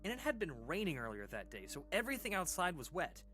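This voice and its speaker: A man acting as an uneasy storyteller in an audio drama, recounting an eerie childhood memory in a halting, informal, conversational way. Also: high-pitched, wildly swooping pitch, sharp tone, faint music behind, full character voice. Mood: tense, disturbed. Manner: hesitant, halting, informal, with pauses and sighs.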